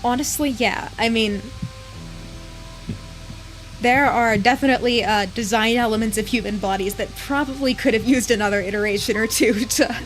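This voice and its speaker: A woman's voice: fairly high pitch, moderate pace, faint music behind, rough recording. A woman streamer giving casual commentary on a game, thinking aloud and rambling with restarts. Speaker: let's play streamer.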